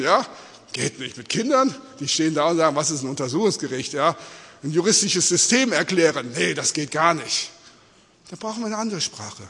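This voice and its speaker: A man with Spanish as his mother tongue preaches in an informal, questioning way. His voice sits high and swings widely in pitch, with a rough, husky grain. It rings through a reverberant hall. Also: fairly bright tone. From Australia-born, mother from Peru, living in Germany. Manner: engaged, questioning, often adding rhetorical check-ins. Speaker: preacher addressing a congregation. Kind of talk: informal, conversational sermon in simple words. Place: large echoing indoor hall, speaking to an audience.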